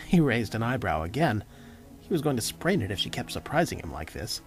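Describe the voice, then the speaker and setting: A man's voice: mid-range voice, big pitch swings, brisk. A man reading scripted narration as the storyteller of an audio drama. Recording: music behind the voice.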